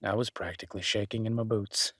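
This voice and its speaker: A man playing a solitary, kindly cabin dweller and former medic in an intimate audio roleplay, speaking haltingly with dry teasing and reassurance. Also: fairly low pitch, steady tone, sharp tone, husky, no echo, exaggerated voice. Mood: teasing, reassuring. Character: gruff-kind solitary cabin-dwelling former EMT, awkward, teasing, protective.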